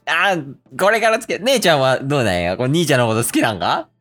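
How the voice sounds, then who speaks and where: A man's voice: mid-range voice, wildly swooping pitch, fast talker, a bit bright, faint music behind. A man with a Kansai accent playing a nosy, generous festival stall vendor in an amateur comedy radio drama, teasing and familiar. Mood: teasing, friendly.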